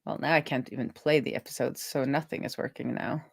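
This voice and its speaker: A woman's voice: extremely low pitch, brisk, vocal fry, slightly rough. A woman live-streaming as a web developer, casually thinking aloud as she talks through each coding step. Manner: thinking aloud, talking through steps to herself.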